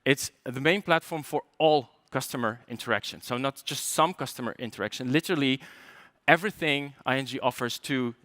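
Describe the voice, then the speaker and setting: A man's voice: mid-range voice, brisk, a bit bright, no echo, clean studio sound. Chatty Polish man giving a tech talk. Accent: light Polish. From Poland. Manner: chatty, joking asides, frequent fillers and restarts. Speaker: conference speaker.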